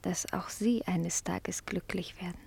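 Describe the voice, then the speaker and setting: An actress playing a naive, pious young girl in a radio drama, artless and earnest with a quiet defiance. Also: fairly low pitch, fairly even intonation, a little slow, gravelly, breathy edge, no echo, in a whisper, full character voice. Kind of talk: theatrical radio drama acting.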